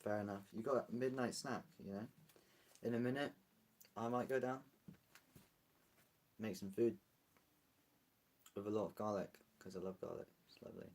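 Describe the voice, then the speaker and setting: A British man talks casually on a livestream, rambling between topics as he answers viewer comments. His voice is slightly husky and sits fairly low. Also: moderate pace, long pauses, darkish tone. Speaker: live streamer.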